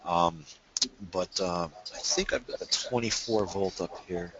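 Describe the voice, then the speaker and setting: A man's voice: low-pitched, a little slow, muffled recording, noisy background. A man presenting a technical webinar, explaining things casually and asking questions.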